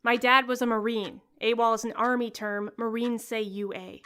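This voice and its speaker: An actress playing a jaded, sardonic fast-food worker in her early twenties, dry and wry, in an audio drama. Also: fairly high pitch, flat delivery, fairly bright tone, clear, resonant tone, lightly acted. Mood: curt, defiant. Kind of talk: first-person audio drama narration and dialogue.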